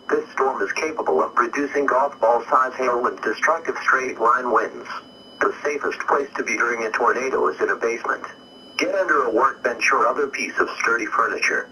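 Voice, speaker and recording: A synthetic man's voice delivers an official weather warning with no personal touch. The tone is piercingly bright and the pitch is average. It sounds distant and slightly noisy, like a radio bulletin. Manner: formal, impersonal. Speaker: emergency alert announcer. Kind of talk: scripted emergency weather warning.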